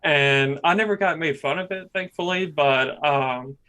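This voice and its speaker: A young man hosting a casual podcast interview. He talks in a monotone and rambles, with many fillers. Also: a little high, measured pace. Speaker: podcast host.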